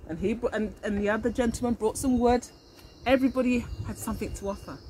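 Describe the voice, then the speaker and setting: A woman's voice: on the high side, fast talker. A middle-aged woman, an early years teacher, reading a picture book aloud expressively and speaking to children. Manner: animated, addressing children with questions. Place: outdoors in a leafy garden. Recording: faint outdoor background noise.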